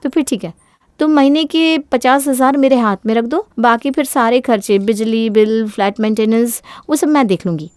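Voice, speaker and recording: Woman playing a sharp-tongued, high-voiced young wife. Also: normal speed, no room sound, natural voice. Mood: persuasive, demanding. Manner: sharp-tongued, demanding, argumentative. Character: self-centred, smooth-talking young married woman, bossy and defiant.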